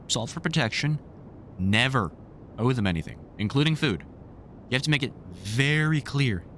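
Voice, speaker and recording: Man playing a wry, protective older vampire hunter, lively intonation. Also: mid-range voice, a little hiss or hum. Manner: reassuring, wry, casually sweary. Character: gruff, protective older male vampire hunter, caring mentor. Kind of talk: one-sided audio roleplay, conversational comfort scene.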